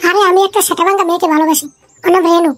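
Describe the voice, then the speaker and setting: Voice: extremely high pitch, steady tone, rapid-fire. A performer voicing a nervous young man, the thug's anxious friend, in a comic cartoon skit with music behind. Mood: worried. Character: nervous squeaky young male friend of a thug.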